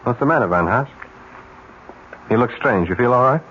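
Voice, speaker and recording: An actor playing a shady, businesslike crook in a radio mystery drama, curt and impatient. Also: male voice, medium pitch, animated, moderate pace, fairly bright tone, lightly acted. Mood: brisk, assured. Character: brusque, shady, businesslike male murder broker. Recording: dull, noisy old radio broadcast.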